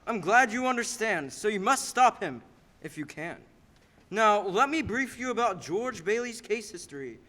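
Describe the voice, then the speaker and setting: A man acts out a heavenly superintendent of angels for a radio drama. The voice is high and forceful, with patient authority and the measured manner of someone briefing a junior. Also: lively intonation. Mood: patient, instructing. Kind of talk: 1940s radio play acting. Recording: stage radio-play performance.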